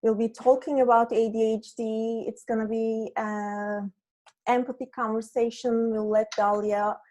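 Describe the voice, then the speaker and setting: A woman's voice: a little high, flat delivery, unhurried, a little mellow. A woman from Turkey whose first language is Turkish, hosting an online meetup in a friendly, hesitant way over a video call. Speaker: meetup host. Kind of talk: casual online video chat.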